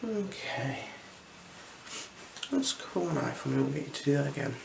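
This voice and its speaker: A gentle, unhurried ASMR performer speaking softly and slipping into whispers while role-playing an eye examiner. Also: mid-range voice, lively intonation. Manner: gentle, unhurried, soothing instructions. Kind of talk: ASMR role-play, soft-spoken with whispers. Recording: clear background noise.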